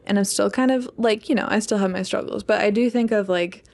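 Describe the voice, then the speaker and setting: A woman's voice: medium pitch, fairly quick, creaky, darkish tone. A woman in her twenties co-hosting a podcast in a casual, rambling, candid chat. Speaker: podcast co-host, English PhD student.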